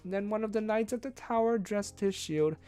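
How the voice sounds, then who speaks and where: A woman streamer reading a classic novel aloud as expressive read-along narration. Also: mid-range voice, fairly quick. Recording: faint music behind the voice.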